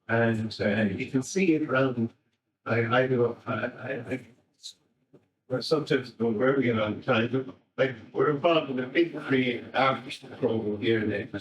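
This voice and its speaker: An Irish man making an informal, rambling contribution at a community talk. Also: mid-range voice. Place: indoor event. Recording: echoey room, distant microphone.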